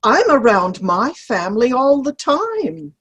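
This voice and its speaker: A woman playing a mild, peacemaking older friend in an amateur comedy play reading, gentle and family-minded. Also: sits high, very animated, slow pace, breathy edge, muffled recording, heavily acted. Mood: pleasant. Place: online video-call play reading.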